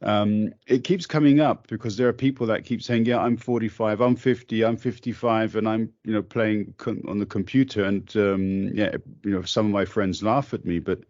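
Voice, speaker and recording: A man's voice: lowish voice, steady tone, moderate pace, few pauses, darkish tone, dry recording, lo-fi sound. A middle-aged man hosting a casual interview, chatting easily with lots of "you know" fillers.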